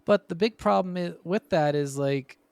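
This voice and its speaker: A man's voice: high-pitched, normal speed, creaky, darkish tone, clean tone, no echo. A man co-hosting a casual gaming podcast, chatting bluntly and swearing freely. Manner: blunt, profane. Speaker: podcast co-host.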